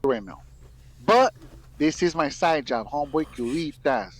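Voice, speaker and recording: A man's voice: fairly high pitch, expressive, normal speed. A man making online videos, talking casually to viewers in slang with Spanish words mixed in. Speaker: YouTube content creator.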